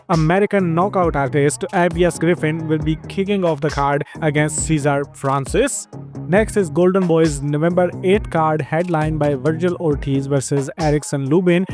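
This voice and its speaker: A man with an Indian English accent hosting a fight news show, briskly listing upcoming bouts in a scripted rundown. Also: a little high, a little mellow. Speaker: host of a fight news channel.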